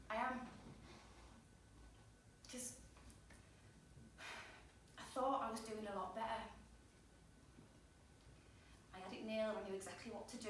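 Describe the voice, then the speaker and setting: Stage monologue: actress plays wounded, sweary young woman, candid and joking, recorded from far off with clear background noise. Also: a little high, a bit bright, natural voice. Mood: hurt, wistful. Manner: candid, blunt, swinging between joking and hurt. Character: wounded, sweary, self-deprecating young woman venting about an ex. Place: small pub theatre stage. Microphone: distant camera or audience microphone. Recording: echoey room from far off, noisy.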